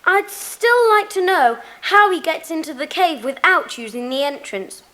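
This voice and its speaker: A woman's voice: sits high, lively intonation, moderate pace, bright, forceful tone. A woman playing a keen, curious schoolchild in a children's audiobook drama. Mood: curious, cheerful. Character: keen, lively schoolchild, curious club member.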